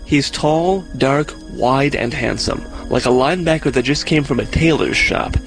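Man, radio reporter, fry in voice, scripted narration, music bed. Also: medium pitch, moderate pace. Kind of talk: scripted feature narration with interview questions.

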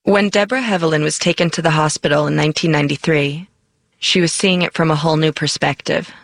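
Brisk creaky news narrator. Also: medium pitch, steady tone, a bit bright. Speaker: radio reporter.

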